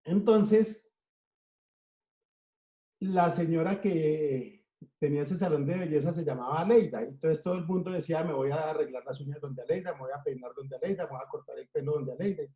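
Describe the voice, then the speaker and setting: A middle-aged Colombian man with a Colombian accent, talking in an interview at an easy, unhurried pace and stacking his points into rhythmic lists. His voice sits a little high for a man and has a mellow, dark tone. He is recorded in an echoey room. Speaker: interviewed cartoonist. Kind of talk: relaxed storytelling.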